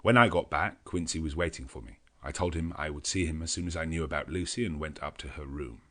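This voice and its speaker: A man narrating an audiobook in a measured, grave storytelling manner. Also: very deep voice, fairly bright tone. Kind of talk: scripted narration.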